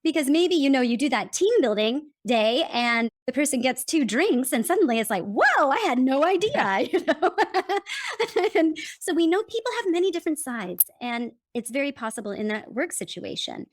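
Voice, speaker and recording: An American woman speaking as a guest author, explaining her ideas in an animated, emphatic way. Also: sits high, normal speed, dry recording. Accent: General American. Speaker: guest author and communication consultant. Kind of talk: interview answers.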